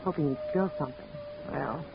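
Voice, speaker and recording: A woman playing a plucky, no-nonsense young woman in a 1940s radio drama, brisk and capable. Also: sits low, creaky, soft background music. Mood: earnest. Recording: muffled old radio recording with faint hiss.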